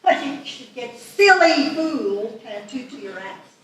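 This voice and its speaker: A woman playing a sharp-tongued, sarcastic elderly wife who nags fondly, at a live table read. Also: on the high side, very animated, slow pace, heavily acted. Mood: scolding, teasing. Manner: salty, dry teasing. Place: live festival stage reading. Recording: echoey hall, distant microphone.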